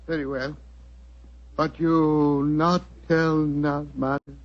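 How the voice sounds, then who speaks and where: An actor playing an ailing, courteous Indian maharaja in a 1940s radio drama, speaking halting broken English with a husky voice on a muffled recording. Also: male voice, on the high side, flat delivery. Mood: courteous, weary.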